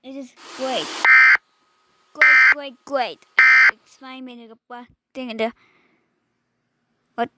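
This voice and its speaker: A woman's voice: high voice, fairly even intonation, slow pace, long pauses. A woman giving a casual, exclamatory reaction commentary, repeating phrases and muttering asides. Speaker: reaction video creator. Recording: very noisy home recording, clicks.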